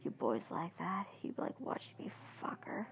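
A woman putting on a crude, domineering male lover in a husky whisper, taunting and commanding. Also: lowish voice, very animated, normal speed, pitched below the performer's own voice.

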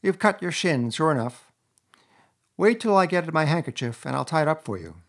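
A man voicing an excitable, impatient rat in a storybook, kindly but bossy, with an urgent, exclamatory manner. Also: on the high side, moderate pace, pitched above the performer's own voice. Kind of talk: storybook character voice.

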